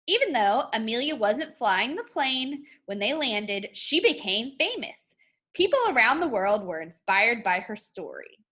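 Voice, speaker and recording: A woman teacher reading a picture book aloud to children in an expressive, instructive way. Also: on the high side. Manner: expressive, instructive, addressing young students. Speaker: art teacher.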